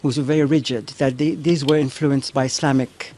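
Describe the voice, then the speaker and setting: A man's voice: mid-range voice, fairly even intonation, normal speed, darkish tone, a little hiss or hum. A man in the audience asking a lecturer questions politely, often agreeing with "right" and "yes". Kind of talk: polite Q&A exchange.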